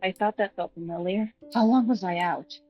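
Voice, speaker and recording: A woman's voice: mid-range voice, moderate pace. A woman player in a tabletop roleplaying game, casually describing what her character does and speaking for it. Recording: slightly dull mic, music underneath.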